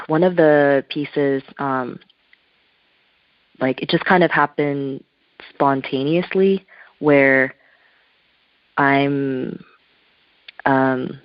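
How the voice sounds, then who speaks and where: Slow, thoughtful woman on a muffled phone line. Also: a bass voice, no echo. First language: Korean. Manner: searching, thoughtful, loose phrasing. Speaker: interview guest, spiritual artist. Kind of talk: reflective telephone interview talk. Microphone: telephone.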